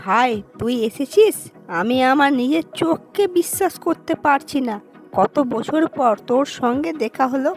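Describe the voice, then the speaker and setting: A homely village mother , played by a woman in a cartoon, with a fairly high voice. She is caring yet quick to chide, and speaks expressively over light music. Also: expressive. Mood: fond, emotional. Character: village mother, caring but scolding, fond sister. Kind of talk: cartoon dialogue acting.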